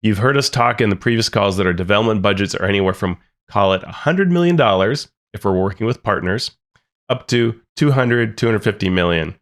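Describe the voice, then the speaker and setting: A man with a fairly low voice talks through a podcast news segment. He reads off figures in a relaxed way and drops in dry, wry remarks between the numbers. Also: brisk. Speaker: podcast co-host. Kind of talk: casual news discussion.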